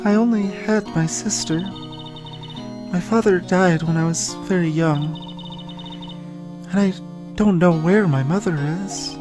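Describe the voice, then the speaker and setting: A man voicing a soft-spoken, sorrowful young woman who is a spirit medium in a video game, speaking haltingly and earnestly. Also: high voice, pitched above the performer's own voice. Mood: sad, reflective. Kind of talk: voiced video game dialogue. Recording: music behind the voice.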